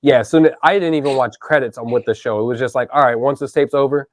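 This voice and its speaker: A man's voice: medium pitch, fast talker, dry recording. A man in his thirties hosting a casual podcast interview, enthusiastic and chatty, with lots of "dude" and "man". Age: 30s. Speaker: podcast host.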